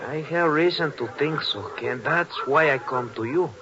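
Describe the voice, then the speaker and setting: A man's voice: a little high, moderate pace, noisy background, close to an everyday voice. Man playing an earnest Venezuelan idealist, muffled vintage radio. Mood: worried. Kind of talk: 1950s radio acting.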